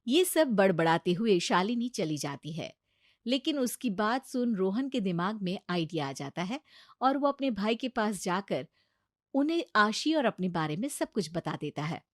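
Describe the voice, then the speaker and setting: A woman doing scripted narration for a cartoon story, with an even storytelling delivery. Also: mid-range voice, moderate pace, no echo, clean studio sound.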